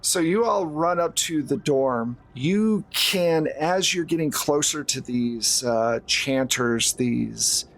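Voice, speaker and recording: A man's voice: a little high, animated, slow, creaky, hardly any pauses. A man running a horror tabletop roleplaying game, narrating scenes descriptively and conversationally. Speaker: game master.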